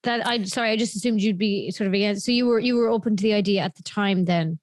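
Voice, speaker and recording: A woman's voice: medium pitch, quick pace, no echo, studio quality. A woman hosting a podcast, interviewing in a conversational way with short responses and questions. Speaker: podcast host.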